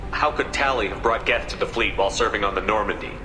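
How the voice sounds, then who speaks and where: An actor playing a calm, firm male starship captain in a game cutscene. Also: fairly low pitch, quick pace, noisy background, lightly acted. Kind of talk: video game cutscene dialogue. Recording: echoey game mix with music.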